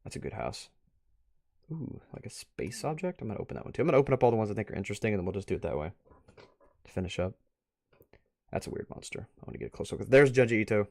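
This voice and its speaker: A man's voice: fairly low pitch, darkish tone, no echo, studio quality. A man giving casual, off-the-cuff commentary as a stream host, rambling and musing aloud. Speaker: host of a live art review stream.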